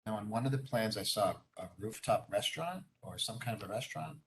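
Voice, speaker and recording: A council member asking friendly, inquisitive questions during a meeting. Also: male voice, lowish voice, expressive, brisk, vocal fry, low-quality recording. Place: council chamber.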